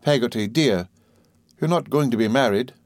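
A man voicing a gentle, fragile young mother in a storybook, with tender, genteel phrasing. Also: mid-range voice, moderate pace, no room sound, clean studio sound, lightly acted. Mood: anxious, tender. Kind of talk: audiobook character voice.

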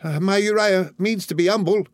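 A man's voice: high-pitched, normal speed, no echo, clean studio sound, pitched above the performer's own voice, lightly acted. A man voicing an anxious, humble old mother who pleads dotingly, in an audiobook. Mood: pleading. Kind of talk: audiobook character voice.

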